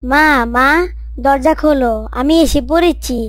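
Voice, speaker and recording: A woman plays a cheerful young man in a cartoon story, using a very high and bright voice. He is eager and friendly with his family and friends, and the recording is close and dry. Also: steady tone, unhurried, dry recording.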